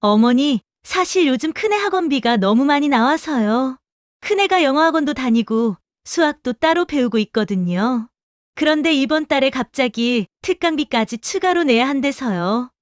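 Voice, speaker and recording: Middle-aged daughter-in-law, played by a woman, fairly high, polite, matter-of-fact, audio drama, close and dry. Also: animated, normal speed, no room sound. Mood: careful, coaxing. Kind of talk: Korean audio drama acting.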